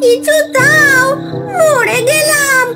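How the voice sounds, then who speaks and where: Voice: extremely high pitch, steady tone, drawn-out words, recorded from a distance, full character voice. A performer voicing a greedy, whiny little ghost girl in a cartoon, childish and full of exclamations. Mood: wailing in pain. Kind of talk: cartoon voice acting. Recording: echoey, loud music behind.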